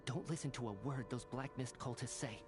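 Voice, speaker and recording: An actor playing a resolute survivor leader in a video game, stern, philosophical and exhorting. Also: male voice, mid-range voice, brisk, over music, close to an everyday voice. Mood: urging, teasing. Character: resolute male survivor leader urging others onward. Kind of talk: dramatic video game voice acting.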